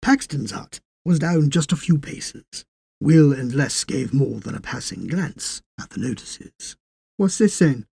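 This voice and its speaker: A man reading a literary story as narrator, in a scripted, measured storytelling style. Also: medium pitch, lively intonation, vocal fry, warm tone, breathy edge, no echo.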